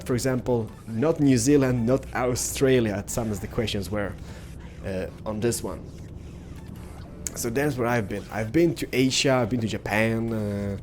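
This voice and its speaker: A man's voice: mid-range voice, a little mellow, a little hiss or hum. Rambling young man. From Sweden. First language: Swedish. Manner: rambling, with many "like" and "stuff like that". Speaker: gaming youtuber. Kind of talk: casual gameplay commentary.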